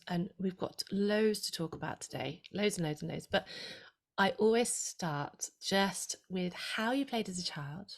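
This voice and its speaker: A woman hosting a podcast, asking eager, rambling questions in an enthusiastic way. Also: medium pitch. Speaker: podcast host. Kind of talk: podcast interview.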